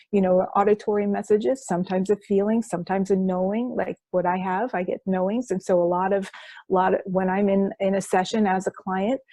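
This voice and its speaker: A woman's voice: mid-range voice, dark tone, dry recording. Enthusiastic woman explaining hypnosis sessions. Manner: enthusiastic, restarts phrases often. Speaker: quantum healing hypnosis practitioner, guest. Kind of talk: explaining her practice in an interview.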